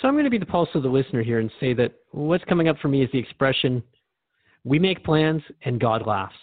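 A man's voice: mid-range voice, big pitch swings, quick pace, no room sound, phone-quality sound. A man playing a thoughtful, inquiring radio host, conversational and reflective as he interviews in a radio drama. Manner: reflective, questioning.

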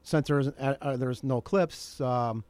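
Male interview guest, middle-aged, casual and slightly halting delivery, close dry studio sound. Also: mid-range voice, darkish tone, smooth, no echo. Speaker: interview guest, documentary maker. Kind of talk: casual radio chat.

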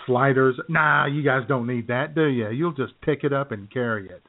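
Teasing co-host on a tinny line. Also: male voice, medium pitch, normal speed, no echo. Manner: teasing, laughs often. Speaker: podcast co-host. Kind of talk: casual banter. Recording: tinny phone-like sound.

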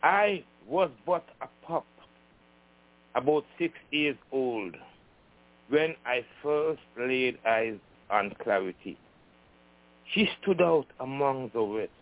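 A man's voice: mid-range voice, animated, takes it slowly, long pauses. A man calling in to a show to read a poem, chatty and philosophical. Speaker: caller reading poetry. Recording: tinny phone line.

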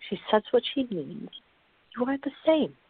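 An actress playing a calm, patient, gently firm woman who reasons in measured tones in a radio drama. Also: a little high, gravelly, slightly rough, full character voice. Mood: reasoning. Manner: measured, placating, explanatory. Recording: tinny, band-limited, echoey room.

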